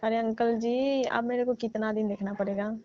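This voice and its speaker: A woman's voice: on the high side, steady tone, moderate pace, darkish tone. Woman coaxing a caller playfully on the phone. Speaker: caller posing as patient. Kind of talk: staged funny phone call. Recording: muffled phone call recording.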